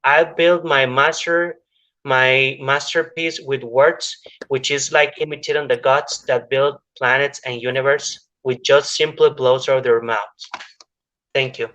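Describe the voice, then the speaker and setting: A man reading a poem in measured lines, with a few small stumbles. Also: medium pitch, steady tone, sharp tone. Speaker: poet reading a translation. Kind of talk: poetry recitation. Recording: dull lo-fi online call audio.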